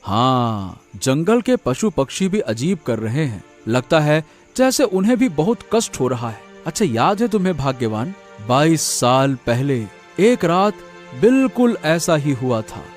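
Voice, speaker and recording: Man acting a concerned, lively-voiced father. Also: fairly high pitch, a little slow, faint music behind. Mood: uneasy. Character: concerned, reassuring village father. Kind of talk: animated moral story dramatization.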